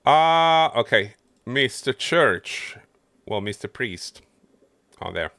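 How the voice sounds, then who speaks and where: A man's voice: medium pitch, very animated, takes it slowly, a bit bright, no echo, clean studio sound. A man doing casual livestream game commentary, muttering his running thoughts to himself as he plays. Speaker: game streamer.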